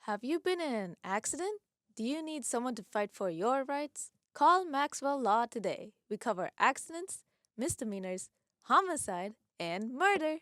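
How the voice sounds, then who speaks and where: A woman's voice: high voice, very animated, moderate pace, fairly bright tone, dry recording, clean studio sound. A woman playing a pushy law firm announcer in a radio advert parody within an audio drama. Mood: salesy.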